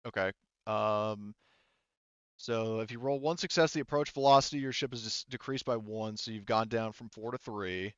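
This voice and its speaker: Clear-voiced man refereeing a tabletop game. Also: on the low side, dry recording, highs cut off. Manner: halting, thinking aloud while checking rules. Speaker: game master.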